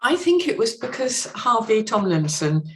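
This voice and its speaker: A woman speaking as a literary interview guest, explaining things in an articulate, considered way and pausing to find the right words. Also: fairly high pitch, very animated. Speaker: podcast guest, literary translator.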